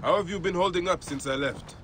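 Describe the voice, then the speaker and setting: A man's voice: high-pitched, brisk, background noise, lightly acted. A man playing a grim, middle-aged Egyptian warrior in a video game, solemn and terse. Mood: fond. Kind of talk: cinematic video game acting.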